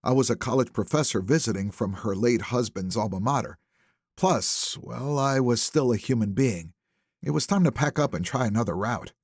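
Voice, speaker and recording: A man narrating a first-person thriller audiobook in a wry, conversational, expressive manner. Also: medium pitch, normal speed, husky, no echo, studio quality.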